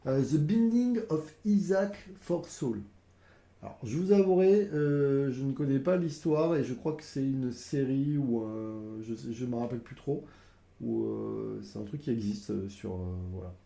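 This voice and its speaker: A man's voice: mid-range voice, unhurried, creaky, mellow, reverberant. Stuffy-voiced man explaining a board game. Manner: hesitant, many fillers, explains step by step. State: has a cold. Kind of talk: casual game explanation to camera.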